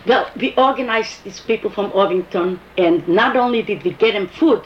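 A woman's voice: medium pitch, normal speed. An older woman with a foreign accent, telling her story in an oral history interview and acting out the dialogue. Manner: animated storyteller, quotes dialogue. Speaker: interviewee recalling activism. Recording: muffled, echoey room with faint hiss.

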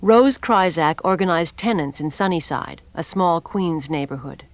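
A woman narrating a documentary in a clear, scripted reading. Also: on the low side, lively intonation, measured pace, no room sound.

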